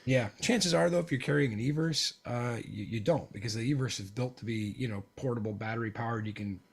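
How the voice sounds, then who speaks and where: A man with a hoarse, gravelly voice, chatting casually as a guest about live sound mixing, with plenty of fillers. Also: mid-range voice, a little fast. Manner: hedging, lots of fillers. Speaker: audio engineer guest. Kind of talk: casual chat.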